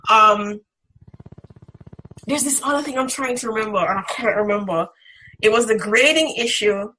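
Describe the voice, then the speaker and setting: Bright-voiced, emphatic woman. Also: fairly high pitch, normal speed, rough recording. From Caribbean islands. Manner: strident, emphatic. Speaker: interviewee, graduate student instructor. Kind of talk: candid reflective interview talk. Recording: background noise with clicks.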